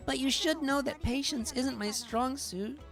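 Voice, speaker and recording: A woman's voice: high-pitched, a little slow, faint music behind. A woman voicing playful, devoted animal girls in human form for a visual novel game. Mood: upbeat, eager. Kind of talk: visual novel character acting.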